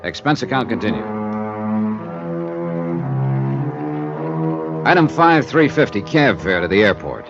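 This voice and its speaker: An actor playing a confident insurance investigator, breezy, wry and matter-of-fact, in 1950s radio drama style. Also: male voice, on the low side, very animated, a little fast. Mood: brisk, punchy. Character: brisk, confident male freelance insurance investigator. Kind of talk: 1950s radio drama acting and first-person narration. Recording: muffled old radio recording with music.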